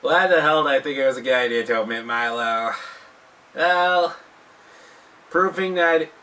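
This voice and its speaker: A man hosting a video countdown, delivering scripted commentary with self-deprecating, jokey asides in an animated manner. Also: mid-range voice, unhurried, far from the mic. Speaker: host of a video countdown show.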